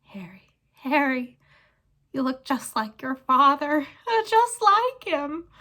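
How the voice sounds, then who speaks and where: A woman voicing a cowardly man groveling for his life, squeaky and whimpering, stammering as they plead in a storybook reading. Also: big pitch swings, takes it slowly, sharp tone, exaggerated voice. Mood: wheedling. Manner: stammering, imploring.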